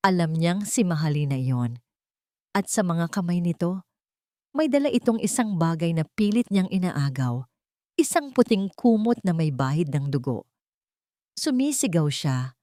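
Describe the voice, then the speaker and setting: A woman's voice: sits low, warm tone, no room sound, clean studio sound. A woman reading a scripted dramatic story as a storyteller.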